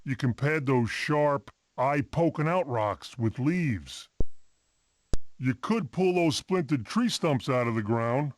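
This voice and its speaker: A man's voice: mid-range voice, lively intonation, slow. A cartoon mammoth, an anxious and chatty expectant dad in a family game. His delivery swings widely and takes its time, with dry wisecracks, over a slightly noisy clip. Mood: earnest, wry. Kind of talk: animated family game voice acting.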